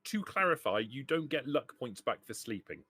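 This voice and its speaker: A man's voice: mid-range voice, big pitch swings, normal speed, bright, forceful tone, husky, dry recording, clean studio sound. A man acting as game master, explaining the rules of a tabletop role-playing game.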